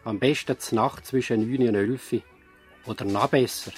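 Man as male radio narrator, Swiss German accent, medium pitch, wry, explanatory, music behind. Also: moderate pace, lightly acted. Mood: ironic. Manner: ironic, explanatory. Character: wry male narrator addressing listeners about doctors' paperwork. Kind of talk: scripted radio commentary.

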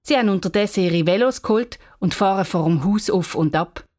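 A woman's voice: medium pitch, brisk, darkish tone. A woman with a Swiss accent narrating a scripted children's story. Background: music.

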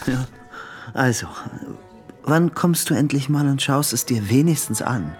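A man's voice: medium pitch, lively intonation, measured pace, faint music behind, natural voice. An actor playing an obsessively lovesick young man in a radio drama, hesitant, pleading and insistent. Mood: hopeful, coaxing. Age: young adult.

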